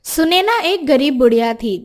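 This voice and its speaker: A woman's voice: high voice, lively intonation, moderate pace. A woman giving scripted narration for a moral story.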